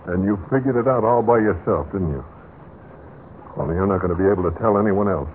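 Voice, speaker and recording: An actor playing a nervous, cunning killer in a Golden Age radio drama, with an edgy, agitated delivery. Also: male voice, fairly low pitch, fast, lightly acted. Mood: sneering menace. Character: nervous, cunning male killer, cornered and pleading. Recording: noisy tinny vintage radio recording.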